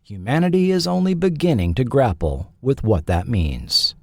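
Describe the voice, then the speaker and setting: A man delivers scripted documentary narration as host. His voice sits at a middle pitch with lively inflection, and each phrase is measured and articulate. The recording is close and dry. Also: dry recording.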